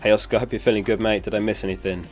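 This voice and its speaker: Young guy, lowish voice, laid-back stream chat, bit under the weather, faint music underneath. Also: rapid-fire. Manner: relaxed, answers chat questions. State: recovering from a lost voice. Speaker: streamer host. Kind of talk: casual live stream chat.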